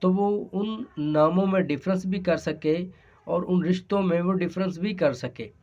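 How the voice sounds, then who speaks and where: A man's voice: high voice, a little slow, a little mellow. A man with a Pakistani accent giving an explanatory law lesson, speaking hesitantly and repeating his phrases. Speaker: law lecturer. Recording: dull, lo-fi sound.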